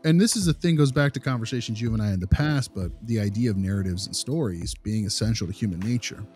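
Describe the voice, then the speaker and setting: A man's voice: lowish voice, fast talker, a little mellow, faint music behind. A man talking casually as a podcast co-host, emphatic and often breaking off to restart his thought. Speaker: podcast co-host, behavior analyst.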